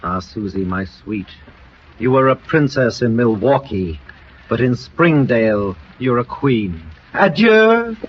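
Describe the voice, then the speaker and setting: An actor playing a suave, flattering celebrity romancer with florid, ardent delivery in a 1940s radio drama. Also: male voice, medium pitch, slow pace, slight background noise. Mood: smug, courtly. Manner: florid, ardent, smooth-talking. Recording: dull old radio recording with music.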